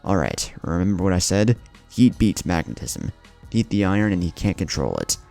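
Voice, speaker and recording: A man plays a competitive young ninja boy in an audio story. He sounds cocky and urgent, with a low, creaky voice over music. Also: very animated, normal speed, mellow, heavily acted. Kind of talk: narrated fan-fiction story.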